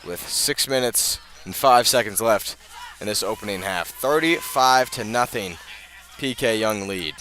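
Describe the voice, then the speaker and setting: A man doing live radio play-by-play of high school football, often halting and correcting himself as he goes. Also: expressive, sharp tone, soft background music. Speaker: play-by-play sports announcer.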